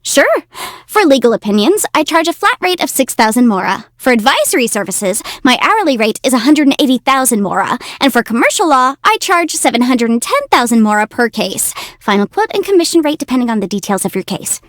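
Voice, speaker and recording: A woman's voice: high voice, sing-song, brisk, no echo, clean studio sound. A woman voicing a cute, confident young female legal adviser in a video game, youthful and articulate with a theatrical, self-assured delivery. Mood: businesslike. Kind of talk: video game character voice lines.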